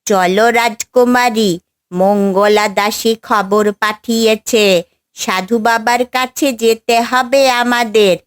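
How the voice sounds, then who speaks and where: Woman acting caring female parrot, fairy-tale cartoon, unhurried, gently coaxing, fairly high voice, music underneath. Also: steady tone, smooth, no room sound, clean studio sound. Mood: urging. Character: caring, motherly female parrot, loyal helper of a princess. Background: background music.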